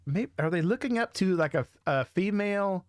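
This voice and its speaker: A man's voice: high voice, lively intonation, moderate pace, dry recording, clean studio sound. A man giving casual reaction commentary, hesitant and rambling as he thinks aloud. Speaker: music video reaction host.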